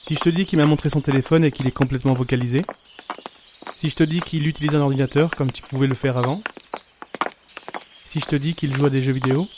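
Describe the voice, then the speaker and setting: A man's voice: mid-range voice, fairly even intonation, quick pace, pauses often, background noise, natural voice. A man playing a patient, insistent young friend in an audio drama, reassuring and plain-spoken. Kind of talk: amateur audio drama acting.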